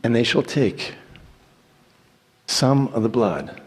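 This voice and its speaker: A man giving a solemn, declamatory dramatic reading of scripture in deliberate phrases. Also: medium pitch, lively intonation, breathy edge. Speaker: church reader reciting scripture. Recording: echoey hall with long reverb.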